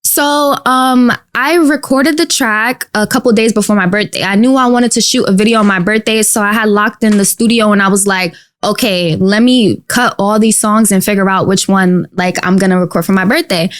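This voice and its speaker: A woman's voice: mid-range voice, normal speed, hardly any pauses. A young woman in her twenties with a New York accent, a guest rapper chatting casually in a podcast studio with lots of slang and fillers. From Queens, New York. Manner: animated, slangy, lots of likes and you-knows. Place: small dark podcast studio. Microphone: studio mic on boom arm.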